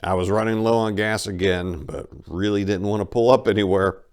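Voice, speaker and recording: A man's voice: fairly low pitch, fairly even intonation, a little fast, clear, resonant tone. A man reading a first-person story as dramatic audiobook narration, in a folksy, colloquial storytelling manner.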